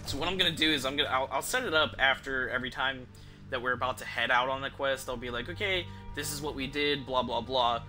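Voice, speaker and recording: A man's voice: medium pitch, fast, pushed and bright, faint music behind. A young man casually commentating on his game live, rambling and swearing as he thinks aloud. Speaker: game streamer.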